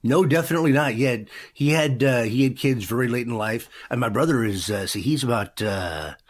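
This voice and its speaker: A man's voice: mid-range voice, fairly quick. A man in his fifties, a former radio DJ, chatting in an interview in a rambling way with many fillers. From Pacific Northwest, USA. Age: 50s. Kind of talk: casual interview chat.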